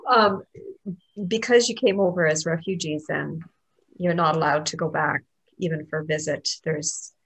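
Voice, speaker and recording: A woman co-hosting an interview podcast, asking questions in a halting, tentative way. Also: deep voice, husky, low-quality recording. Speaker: podcast co-host. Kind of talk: casual interview chat.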